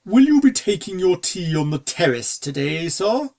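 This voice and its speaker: A proper, dignified butler pony from a storybook, put on by a narrator. He speaks with stately deference, formal and measured, in a slightly gravelly voice. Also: mid-range voice, very animated, darkish tone. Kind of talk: storybook character dialogue.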